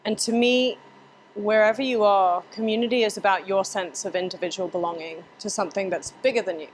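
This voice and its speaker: A woman's voice: mid-range voice, fairly even intonation, brisk, a little hiss or hum, rough recording. Articulate woman from Hong Kong. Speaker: roundtable panelist. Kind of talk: casual discussion.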